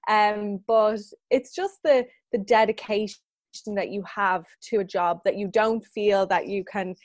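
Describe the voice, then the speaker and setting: A woman's voice: mid-range voice, steady tone, measured pace, dry recording. A woman in her thirties who runs a business, answering interview questions in a chatty, earnest way and laughing often. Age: 30s. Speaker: business owner guest.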